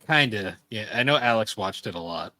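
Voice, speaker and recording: A man's voice: fairly low pitch, animated, a little fast, pushed and bright, no echo. A man co-hosting a podcast, chatting casually and informally in loose, rambling remarks. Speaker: podcast co-host. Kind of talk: casual chat about anime and games.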